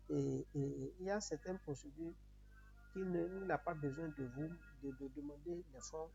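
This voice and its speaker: A man's voice: a little high, creaky, warm tone. A man from Benin with a Beninese accent, a native Yoruba speaker, giving a step-by-step tutorial in repetitive, instructional phrasing. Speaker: tutorial presenter. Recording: muffled audio with noticeable background hum.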